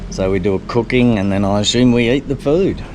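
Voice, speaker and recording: A middle-aged man, chatting his way through a travel vlog in a relaxed, easily pleased manner, his voice a little croaky. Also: mid-range voice, fairly quick, noisy background. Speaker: travel vlogger. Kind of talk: casual vlog narration. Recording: loud guitar music behind.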